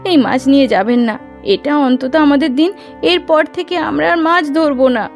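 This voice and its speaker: High-voiced anxious village wife. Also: female voice, animated, fairly quick, gravelly, faint music behind. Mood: pleading. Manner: lamenting, pleading. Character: devout, anxious poor farmer's wife. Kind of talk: cartoon voice acting.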